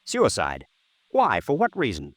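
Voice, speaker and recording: An American actor playing a guarded, touchy young murder suspect in a 1950s radio detective drama. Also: male voice, mid-range voice, huge pitch swings, measured pace, no room sound. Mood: indignant. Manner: defensive, guarded. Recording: restored vintage 1950s radio recording.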